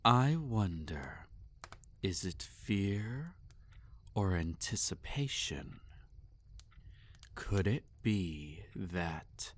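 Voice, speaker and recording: A man playing a possessive, sadistic vampire master in an intimate roleplay audio, teasing and domineering, often slipping into breathy whispers. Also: low voice, expressive, very slow, long pauses, heavily acted. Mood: seductive, teasing.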